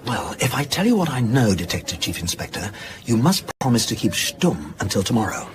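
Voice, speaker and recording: An actor playing a pedantic male lecturer who relishes his own revelations, with theatrical emphasis and drawn-out pauses in a radio drama. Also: on the low side, very animated, background noise. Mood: teasing, pedantic. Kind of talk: naturalistic radio drama acting.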